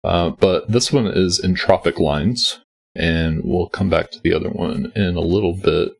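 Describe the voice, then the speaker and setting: Gravelly-voiced man walking through a puzzle. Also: sits low, measured pace, a little mellow. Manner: patient, methodical, many ums. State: gravelly, nearly losing his voice. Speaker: sudoku puzzle setter and walkthrough host. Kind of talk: explaining a puzzle solve.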